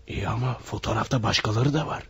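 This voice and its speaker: An actor in a radio play voicing a fretful photographer who mutters anxiously to himself. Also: male voice, fairly low pitch, fast talker, a bit bright, breathy edge, no echo, exaggerated voice. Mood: alarmed. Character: anxious male photographer, middle-aged.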